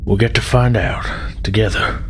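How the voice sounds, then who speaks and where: A man voicing a polite, wistful woman officer who survived a crash, speaking haltingly in a tabletop roleplay. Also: on the low side, fairly even intonation, a little hiss or hum, close to an everyday voice. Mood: wistful, hopeful. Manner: hesitant, halting.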